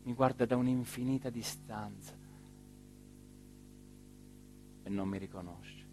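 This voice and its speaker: A male actor performs a brooding, weary villager in a literary theatre monologue. He is solemn and deliberate, letting pauses hang at an average male pitch. Plucked guitar music plays behind him. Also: steady tone, dark tone, lightly acted. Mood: hushed, intimate. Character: weary, brooding village man, mayoral candidate addressing voters.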